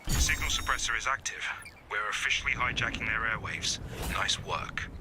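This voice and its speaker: A man playing a businesslike covert operations commander, giving terse instructions in a hushed voice for a video game. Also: lowish voice, normal speed, noisy background, natural voice. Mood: focused. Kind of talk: video game mission briefing.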